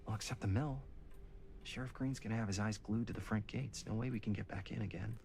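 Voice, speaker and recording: An actor playing a wary young man in a horror video game, talking low and hushed while he plans a way back in. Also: a little fast, gravelly, a little hiss or hum. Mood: hushed, scheming.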